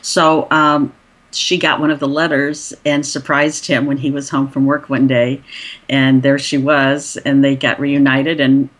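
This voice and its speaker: Chatty boomer woman telling her story. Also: level tone, unhurried. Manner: storytelling, many fillers and restarts. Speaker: podcast guest. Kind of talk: conversational interview.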